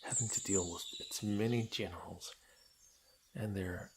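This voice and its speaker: A man acting a playful, flirtatious fantasy lord in an intimate ASMR roleplay, with breathy murmurs and birdsong effects behind him. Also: sits low, fairly even intonation, unhurried. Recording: clear background noise with birdsong effects. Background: birdsong and outdoor nature sound effects.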